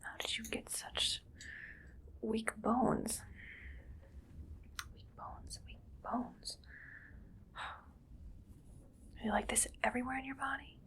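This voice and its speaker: A woman acts out a female mad scientist in a whispered ASMR medical roleplay. She speaks very slowly, murmuring clinical remarks half to herself. Her manner is cold and detached as she appraises her subject. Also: fairly high pitch, steady tone, fairly bright tone. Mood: detached, disappointed. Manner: slow, detached, muttering observations to herself.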